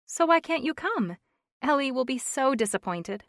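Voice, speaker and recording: A woman voicing an excitable young woman friend chatting on the phone, gushing and teasing, in a dry, close reading. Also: sits high, moderate pace, dry recording, pitched above the performer's own voice. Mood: excited.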